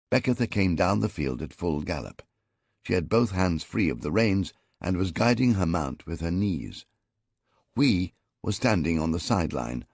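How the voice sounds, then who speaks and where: A man narrating an audiobook in the first person, with a measured, wry storytelling manner. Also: sits low, creaky, a little mellow, slightly rough, no echo, clean studio sound.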